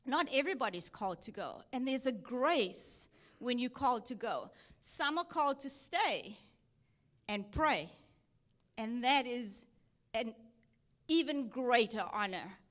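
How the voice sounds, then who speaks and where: A woman's voice: a little high, expressive, measured pace. A woman from South Africa with a South African accent touched by Texas, speaking earnestly at church as a missionary guest, with frequent ums. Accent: South African, with some Texan. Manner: earnest, with soft laughs and many ums. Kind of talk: church testimony and exhortation.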